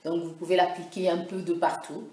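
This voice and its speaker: A woman's voice: lowish voice, level tone, a little fast, mellow. A woman from Congo chatting casually as a beauty vlogger, hesitant and full of fillers and restarts. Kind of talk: casual beauty vlog talk. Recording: echoey room with music behind. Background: background music.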